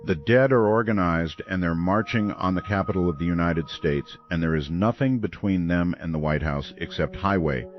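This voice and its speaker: Man acting as grim late-night radio host, very low voice, weary, sombre, dull radio sound, music behind, horror drama. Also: close to an everyday voice. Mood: grave warning. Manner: grave, deliberate, sombre.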